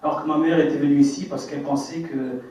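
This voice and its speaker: A man's voice: on the high side, fairly even intonation, fast talker, dark tone, a little hiss or hum. A man in his twenties from France, speaking earnestly at a public debate, hesitant and full of fillers and restarts. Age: 20s. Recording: echoey hall, distant mic, dull sound.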